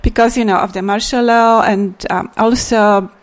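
A middle-aged woman from Poland with a Polish accent, a native Polish speaker, answering audience questions as a poet in a relaxed, reflective way with soft laughs. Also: a little high, moderate pace. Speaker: poet and translator. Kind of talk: Q&A after a poetry reading.

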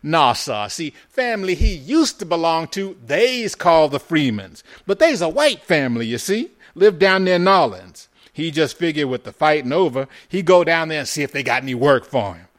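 A humble-acting, smiling Southern man, voiced by a male audiobook reader. He is ingratiating and folksy, with an American Southern accent and a raised, eager pitch suited to a period story. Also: big pitch swings, normal speed, hardly any pauses, a bit bright. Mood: ingratiating, cajoling.

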